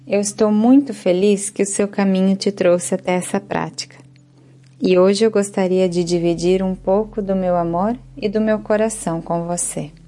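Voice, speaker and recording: A woman with a Brazilian Portuguese accent leads a guided yoga nidra relaxation as the instructor, speaking in a calm, soothing, unhurried way. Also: mid-range voice.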